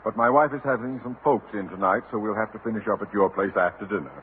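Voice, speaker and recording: A man's voice: on the low side, fast talker, close to an everyday voice. An actor playing an irritable, blustering middle-aged father, sardonic and exasperated, in a 1950s radio sitcom. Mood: businesslike. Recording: tinny 1950 radio broadcast with hiss.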